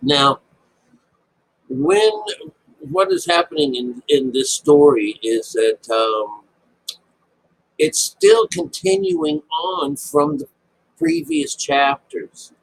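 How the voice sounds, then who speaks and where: Male, husky voice with lively pitch swings, rambling informal Bible teacher. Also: rough recording. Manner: rambling, halting, often breaking off and restating. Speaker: Bible commentator. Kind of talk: informal spoken Bible commentary.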